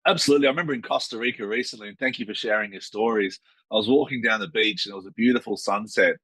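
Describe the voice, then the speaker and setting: A man's voice: on the low side, rapid-fire, a bit bright, breathy edge, no room sound. A man hosting a casual interview, upbeat and chatty. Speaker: host.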